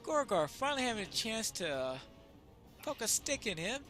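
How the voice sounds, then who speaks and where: A man's voice: sits high, sing-song, normal speed, sharp tone. A man giving casual video game commentary, with musing, understated asides. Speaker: gamer, playthrough commentator. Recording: faint game music behind.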